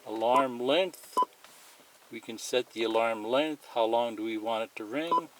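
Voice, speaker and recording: A man's voice: medium pitch, a little slow, noisy background. A man giving a plain, methodical, unscripted step-by-step demonstration of a product as a tutorial presenter.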